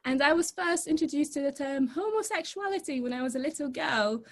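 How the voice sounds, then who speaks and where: Young woman, from Egypt, high voice, lively chatty presenter, video call. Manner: lively, chatty and informal, quick to laugh. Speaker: guest speaker.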